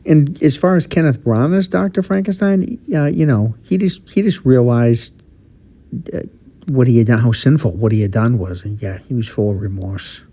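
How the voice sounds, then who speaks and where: A man's voice: mid-range voice, normal speed, mellow. A man hosting a podcast, giving wry, opinionated commentary and teasing his co-host.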